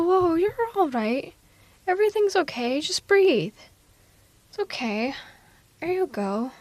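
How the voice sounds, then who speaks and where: A woman's voice: on the high side, no echo. A woman playing a blunt but protective and caring female supervillain in a casual, wry, conversational ASMR audio roleplay. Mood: urgent, soothing. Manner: casual, wry, reassuring, exasperated.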